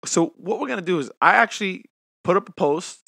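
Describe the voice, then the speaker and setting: A man's voice: high voice, expressive, fairly quick, creaky, sharp tone, no echo, studio quality. A man in his twenties co-hosting a casual podcast, talking with a lisp from new teeth aligners, chatty and self-mocking. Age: 20s. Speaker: podcast co-host. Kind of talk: casual chat.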